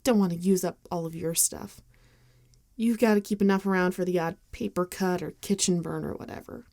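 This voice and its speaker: Caring female vampire friend, played by a woman, ASMR roleplay: mellow, hesitant, very close and dry sound. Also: on the low side, fairly even intonation, creaky, dry recording. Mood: caring, reassuring. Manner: hesitant, gentle, halting with soft sighs. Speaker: ASMR voice actor. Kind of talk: ASMR roleplay acting, intimate and conversational.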